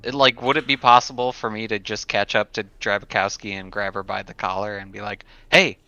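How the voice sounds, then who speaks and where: A man's voice: lowish voice, little pitch movement, a little fast, bright, forceful tone, clear, resonant tone, no room sound, muffled recording, soft background music. A man chatting casually as a player in a tabletop roleplaying game, slipping into sweary in-character talk.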